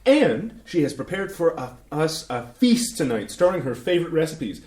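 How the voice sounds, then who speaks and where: An actor playing an upbeat, flattering young interviewer who coaxes his subject, in a staged reading. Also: a little high, normal speed. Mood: coaxing. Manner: coaxing, upbeat, slangy. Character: trendy young male cookbook interviewer, eager and flattering. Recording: echoey theater room.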